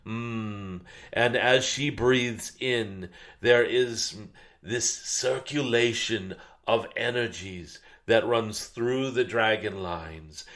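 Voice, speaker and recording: An ancient, wise dragon spirit, channeled by a man, gives a spiritual message to humanity. The voice is fairly low and very slow. It is solemn and gentle, like a guided meditation, with murmured hums in the pauses. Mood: serene, reverent.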